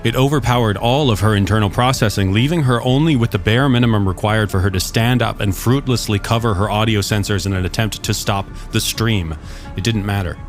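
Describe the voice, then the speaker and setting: A man's voice: on the low side, fairly quick. A man narrating a scripted science fiction story. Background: music under the voice.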